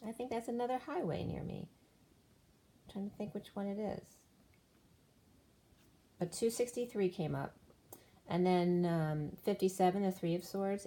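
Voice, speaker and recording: A woman's voice: on the low side, warm tone. A woman giving a solo spiritual tarot reading, explaining cards and numbers one by one while losing her voice. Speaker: tarot reader.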